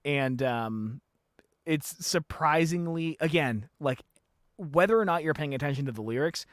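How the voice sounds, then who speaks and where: A man's voice: a little high, animated, a little slow, dry recording, studio quality. A man in his thirties hosting a podcast, chatting casually, laughing often and swearing freely. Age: 30s. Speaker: podcast host. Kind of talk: casual chat.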